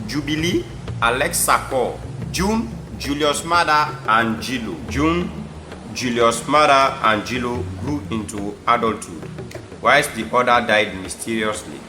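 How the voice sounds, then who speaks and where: A man's voice: medium pitch, sharp tone. A man with a Sierra Leonean accent giving scripted narration for a historical audio drama. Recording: echoey room under clear music.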